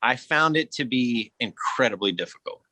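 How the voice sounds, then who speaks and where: A man with a bright, forward voice talks as a guest actor on a podcast. His delivery is earnest, expressive and reflective, with a middle pitch and a very dry sound. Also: normal speed, dry recording.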